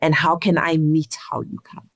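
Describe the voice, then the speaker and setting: Interview guest: woman, slightly low pitch, earnest and deliberate, dry sound. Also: vocal fry, dry recording. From Zimbabwe. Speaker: interview guest, leadership coach. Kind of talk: reflective conversational interview.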